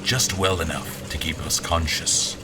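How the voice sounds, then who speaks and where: An actor playing a troubled, desperate general in an audio drama, speaking in a halting, grave, intense manner. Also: male voice, rumbling low, steady tone. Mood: tense, hushed narration. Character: troubled, desperate newly-appointed general haunted by dreams. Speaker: actor narrating in first person and playing the lead. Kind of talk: dramatic audio fiction acting. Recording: loud music under the voice.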